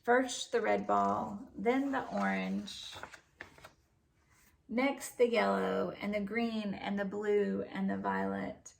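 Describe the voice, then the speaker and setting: Humble old clown played by a female reader, gravelly, slow, devout and earnest, storybook dialogue. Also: mid-range voice, fairly even intonation, distant mic. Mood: joyful devotion.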